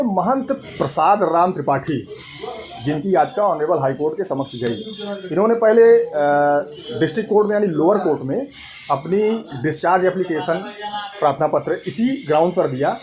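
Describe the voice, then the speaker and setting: A man explains in a deliberate way, as a channel host, often repeating and rephrasing his points. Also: on the high side. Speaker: host of a legal information channel. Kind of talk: explanatory legal talk to camera.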